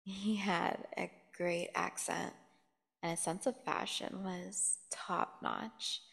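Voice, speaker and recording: A woman playing a captive female vampire in an ASMR audio drama, seductive, taunting and menacing, telling her tale with teasing pauses and dropping at times to a near whisper. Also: lowish voice, fairly even intonation, creaky, a bit bright, heavily acted. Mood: wistful, brooding. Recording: long hall-like reverb.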